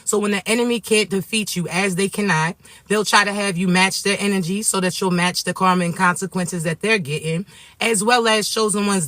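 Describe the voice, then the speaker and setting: This is a man with a raspy, noticeably high voice who sounds a little stuffed up from allergies. He delivers a spiritual message straight to his viewers and keeps checking in with them. The recording is close and dry, with almost no room sound. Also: dry recording. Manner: warm affectionate address to viewers, repetitive "okay?" check-ins. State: sniffly from allergies. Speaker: spiritual reader and coach.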